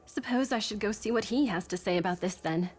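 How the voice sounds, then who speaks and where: An actress playing a composed adult heroine in a fantasy video game. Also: female voice, mid-range voice, fairly quick, a little mellow, husky, close to an everyday voice. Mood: serious. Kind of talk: game dialogue acting.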